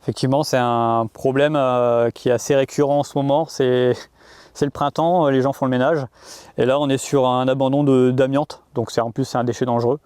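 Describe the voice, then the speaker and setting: A man working as a rural police officer, explaining his job in an interview with frequent pauses and repeated words. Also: mid-range voice, steady tone, darkish tone, clean tone, no echo, studio quality. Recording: outdoor urban noise, vehicles behind.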